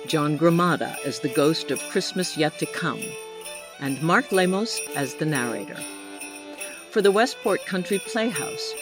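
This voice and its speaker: An announcer giving a scripted radio credits reading in a formal, orderly manner. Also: medium pitch. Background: jingling holiday music.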